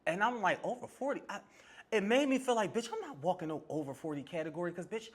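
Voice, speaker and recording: A woman's voice: fairly low pitch, expressive, quick pace, husky. A woman over forty telling stories casually and emphatically as a podcast guest, with sassy, slangy phrasing. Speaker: podcast guest, ballroom scene veteran. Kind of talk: casual conversational storytelling.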